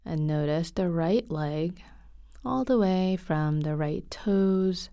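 A woman leads a guided meditation at a very slow pace, softly and calmly. Her tone is mellow and slightly breathy, with long pauses between phrases. The sound is dry and close. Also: on the low side, no echo. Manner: soft, calm, unhurried, with frequent pauses. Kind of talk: guided body scan meditation.

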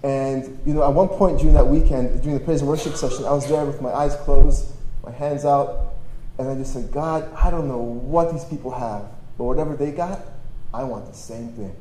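Jokey priest preaching in an echoey room. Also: male voice, medium pitch, normal speed, dark tone. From Toronto, Canada, born in Poland. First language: Polish. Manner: conversational, self-deprecating, jokey, slangy. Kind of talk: informal personal testimony preaching to young adults. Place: large room at a live gathering, through PA. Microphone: stage microphone. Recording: echoey live hall, faint noise.

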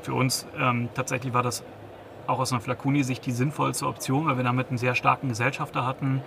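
A man's voice: mid-range voice, little pitch movement, quick pace, gravelly, noisy background. A man in his thirties, a company founder, giving a business interview, hesitant, with many restarts and fillers. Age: 30s.

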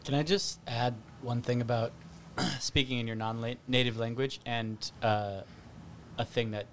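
A man working in marketing and content, chatty and quick to laugh, talking in bursts in a casual chat. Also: mid-range voice, steady tone, a bit bright, a little hiss or hum. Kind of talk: casual podcast conversation.

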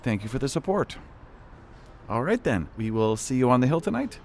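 Over noticeable background noise comes an embattled middle-aged official in an audio drama. His halting, profane talk swings widely in pitch. He sounds anxious and sardonic throughout. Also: sing-song. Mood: strained politeness. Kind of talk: naturalistic audio drama acting.

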